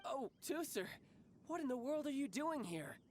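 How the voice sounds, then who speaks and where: A man's voice: very high-pitched, very animated, moderate pace, slight background noise. An actor playing a cocky, playful young male warrior commander who speaks in a breezy, confident, teasing way, with game music behind him. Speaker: military commander. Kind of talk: video game voice acting.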